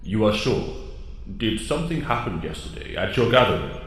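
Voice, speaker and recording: Man acting a booming-echo, low-voiced solemn mentor. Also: very animated, a bit bright. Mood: solemn, authoritative. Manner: formal, measured, authoritative. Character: wise, solemn, fatherly commanding mentor. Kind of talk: audio drama acting. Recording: very heavy echo.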